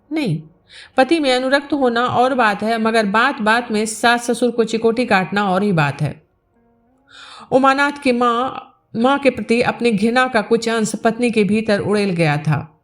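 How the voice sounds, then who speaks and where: A woman narrates literary fiction as a storyteller. Her voice sits fairly high, and music plays behind her as she reads from the prepared text. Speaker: storyteller reading a novel aloud. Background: background music.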